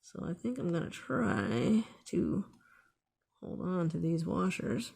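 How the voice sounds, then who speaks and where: A woman's voice: lowish voice, big pitch swings, slow, vocal fry, a little mellow, husky. A woman hosting a casual hands-on DIY tutorial, thinking aloud in an unscripted way as she works. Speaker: DIY video host.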